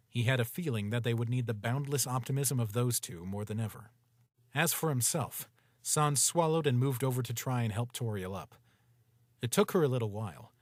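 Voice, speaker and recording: A man reading a story aloud in a steady, even storytelling manner. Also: mid-range voice, dry recording, clean studio sound. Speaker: narrator. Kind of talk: scripted story narration.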